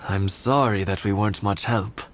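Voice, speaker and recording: Man as a low, thoughtful elderly husband. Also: fairly even intonation, a little hiss or hum, close to an everyday voice. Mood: reflective. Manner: measured, reflective. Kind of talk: video game character acting. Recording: music playing behind.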